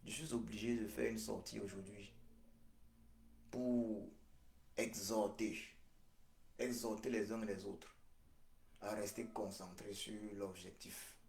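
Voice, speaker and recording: Slow, emphatic man, roomy echo. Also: lowish voice, steady tone, gravelly, darkish tone. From Cameroon. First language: Ghomala' (Baham). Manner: emphatic, deliberate, repeats phrases with frequent hesitations. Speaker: activist leader. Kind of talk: address to supporters.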